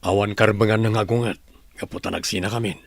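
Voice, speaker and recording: A man's voice: on the low side, fairly even intonation, quick pace, gravelly. A man playing a considerate but firm household employer in an audio drama. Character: considerate male household employer, firm about his family.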